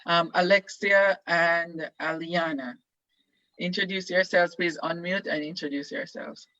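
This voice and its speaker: A man's voice: on the high side, fairly even intonation, unhurried, pushed and bright, no echo, highs cut off. A man casually hosting an online event as he introduces guests. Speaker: host. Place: online video call.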